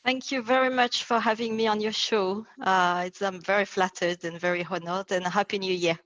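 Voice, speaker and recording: A woman's voice: mid-range voice, steady tone, moderate pace, fairly bright tone, no room sound, dull audio. A woman, a branding consultant raised in France, speaking as an interview guest and using many fillers. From South Korea, raised in France. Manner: thoughtful, frequent fillers, laughs easily.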